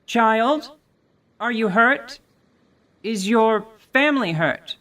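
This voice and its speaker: A woman playing a calm, authoritative tiefling warrior woman with a measured, dry delivery. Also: on the high side. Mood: commanding. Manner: cool, measured and dryly authoritative. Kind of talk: radio drama acting.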